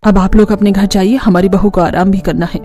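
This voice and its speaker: A woman playing a kind middle-aged mother-in-law in a cartoon family story, speaking in a reassuring, measured, motherly way. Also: mid-range voice, fairly even intonation, mellow, lightly acted. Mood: firm.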